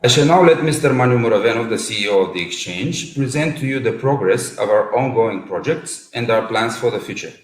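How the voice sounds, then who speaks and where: Bulgarian man reading trade numbers, steady, fixes a slip here and there, roomy echo. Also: medium pitch, brisk, few pauses. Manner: reads long strings of figures in an even delivery, with an occasional self-correction. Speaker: presenter of results. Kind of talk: formal read presentation. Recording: echoey room.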